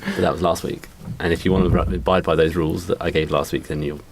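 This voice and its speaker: A man's voice: deep voice, steady tone, fast talker, gravelly, a little hiss or hum. A man hosting a podcast in casual, comedic banter with friends. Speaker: podcast host.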